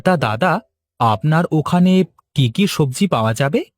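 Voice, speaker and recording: A man's voice: fairly high pitch, animated, unhurried. A man playing an eager, chatty village vegetable seller in a Bengali cartoon, talking in a colloquial, exclamatory way. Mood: businesslike. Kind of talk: cartoon story dialogue.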